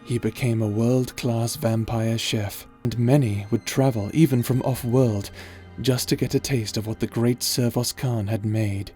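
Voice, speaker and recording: A man's voice: medium pitch, steady tone, measured pace, hardly any pauses, dark tone, faint music behind. A man reading a scripted story epilogue as narrator.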